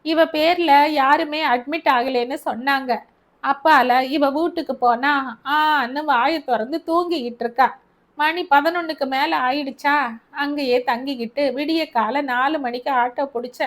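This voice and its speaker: Shrill, lamenting poor old mother, voiced by a woman, bitter and loud. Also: measured pace. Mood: complaining. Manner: wailing, complaining, colloquial. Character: grieving, angry poor older mother wailing.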